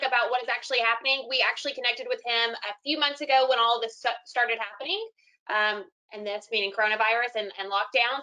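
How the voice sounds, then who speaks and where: A woman's voice: on the high side, bright, forceful tone, low-quality recording. A woman co-hosting a casual online meeting, giving updates and passing on questions as moderator.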